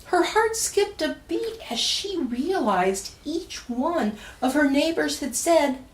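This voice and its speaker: A woman reading a rhyming picture book aloud for children, expressively, with dramatic emphasis and deliberate pauses. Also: high-pitched, lively intonation. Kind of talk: expressive storytime read-aloud of rhyming verse. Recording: roomy echo, distant mic.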